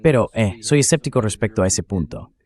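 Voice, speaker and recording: A man's voice: lowish voice, expressive, brisk, a little mellow. A synthetic man's voice used as a translated voice-over in an interview on war and politics.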